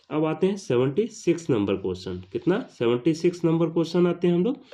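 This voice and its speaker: A man's voice: mid-range voice, mellow. A man teaching an exam-prep lesson, reading out questions and answers in a didactic, repetitive way. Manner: didactic, repeats phrases for emphasis.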